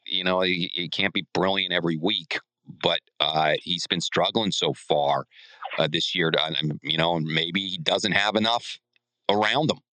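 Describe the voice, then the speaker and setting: A man's voice: deep voice, steady tone, moderate pace, pushed and bright, no echo, rough recording. A man co-hosting a sports podcast in casual discussion, often restarting his sentences midway.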